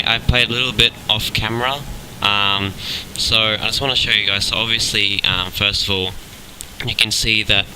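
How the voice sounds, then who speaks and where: A young man with a cold, talking through his game in a relaxed, halting way with many ums. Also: fairly low pitch, background noise. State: has a cold. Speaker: gaming video host. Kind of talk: casual gameplay commentary. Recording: game sounds clearly behind.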